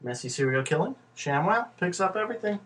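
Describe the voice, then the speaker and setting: A man's voice: big pitch swings, fairly quick, fairly bright tone. Joking man at a distant mic. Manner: joking, mock infomercial pitches. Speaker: podcast co-host. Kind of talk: casual banter. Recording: distant mic with faint noise.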